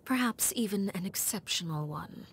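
An actress voicing a cold, dignified woman villain in a video game, speaking formally and with measured menace. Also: mid-range voice, expressive, a little hiss or hum, lightly acted. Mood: cool disdain. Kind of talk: scripted video game voice acting.

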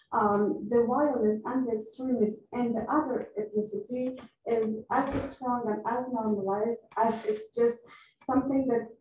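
Female researcher, Afghan accent, high-ish mellow voice, unscripted audience question. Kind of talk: unscripted question and comment at a panel. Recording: echoey room, distant mic, dull, faint noise.

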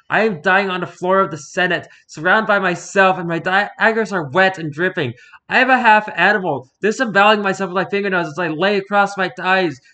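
A man's voice: high voice, bright, forceful tone, voice pushed up. Man acting out anguished doctor, raised desperate voice. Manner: desperate, pleading, intense. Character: anguished bleeding doctor pleading in endless torment. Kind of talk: dramatic reading of horror fiction.

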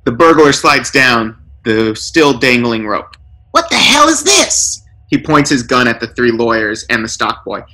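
A man reading a scripted comic short story as narrator, in a dramatic, deliberate way. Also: medium pitch, sharp tone, low-quality recording. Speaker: story reader.

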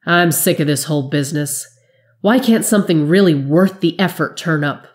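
A woman's voice: low-pitched, normal speed, close to an everyday voice. A woman voicing a morose weary smuggler. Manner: morose, flat. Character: weary, moody male space smuggler.

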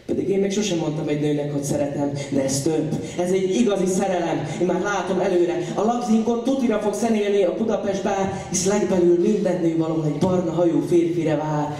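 A man's voice: high-pitched, few pauses, dark tone. A young man performing slam poetry to a live audience in a theatrical, impassioned and playful way. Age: young adult. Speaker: slam poet. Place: large indoor venue, on stage. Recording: echoey hall from far off, audience audible. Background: live crowd reacting.

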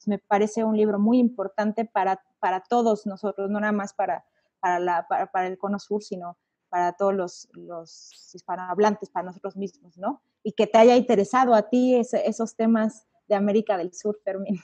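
A woman's voice: mid-range voice, level tone, normal speed, mellow, no room sound. A Mexican woman in her thirties, hosting an interview over a video call in an engaged, friendly way. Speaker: host. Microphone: webcam on video call. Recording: dull lo-fi video call audio.

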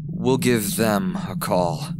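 A man playing a wry, world-weary con artist in a game fan dub, speaking in a dry, deadpan way. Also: on the low side, takes it slowly, heavy background noise. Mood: matter-of-fact.

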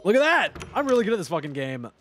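Lively, animated young man streaming. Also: sits high, fast, soft background music. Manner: loud, swearing, joking, animated. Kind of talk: casual gameplay commentary with chat. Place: home gaming room, indoors. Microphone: headset.

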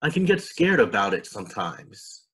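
A man's voice: on the low side, big pitch swings, moderate pace, fairly bright tone, slightly rough, heavily acted. A man voicing an anxious, thoughtful young girl in a storybook, concerned and questioning. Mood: worried.

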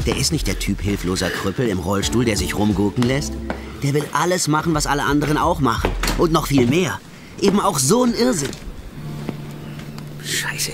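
An actor playing a harried, jittery man in deep trouble in a German radio crime play. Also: big pitch swings, normal speed, long pauses, music in the background, lightly acted. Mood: wry, narrating. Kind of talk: German radio play acting, dialogue and first-person narration.

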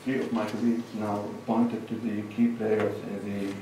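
A middle-aged man with a Lebanese accent giving a measured academic presentation at a lectern, pausing between listed points. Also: lowish voice, level tone, background noise. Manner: measured, lists points with pauses. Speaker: conference speaker. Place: large lecture hall. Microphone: lectern microphone, far camera. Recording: echoey hall recorded from far off.

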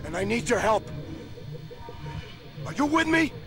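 A hardened, tired criminal in a game cutscene. He speaks slowly and tersely, pressing his point with wide swings of pitch. Music sits clearly behind him. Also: big pitch swings, full character voice. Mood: insistent. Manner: terse, urgent, persuading. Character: weary, hardened male criminal, grimly insistent father. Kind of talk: video game cutscene acting.

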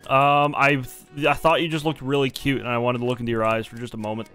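A man's voice: medium pitch, fast talker, smooth, faint music behind. A man chatting and joking with friends while playing an online social deduction game. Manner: joking, teasing, lively. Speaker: gaming streamer. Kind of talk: casual multiplayer game chat.

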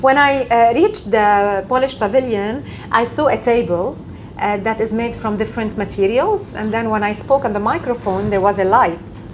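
A woman's voice: on the high side, normal speed, slight background noise, poor audio. Enthusiastic woman radio interviewer. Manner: enthusiastic, repeats "amazing". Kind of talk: interview questions. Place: office, indoors.

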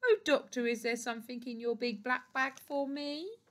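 Woman doing a high, wheedling snake. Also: moderate pace, a bit bright. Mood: pleading. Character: feverish, wheedling snake in a picture book.

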